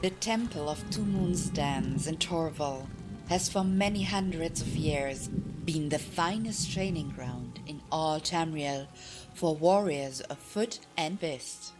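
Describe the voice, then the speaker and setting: A woman's voice: sits low, slow pace. A woman audiobook reader narrating a fantasy tale from a script. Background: music.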